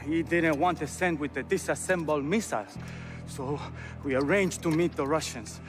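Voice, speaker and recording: Male actor, hesitant operative giving a report in a game scene, higher-than-average pitch, clear music underneath. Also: close to an everyday voice. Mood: halting, strained. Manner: halting, searching for words. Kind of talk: video game cutscene acting.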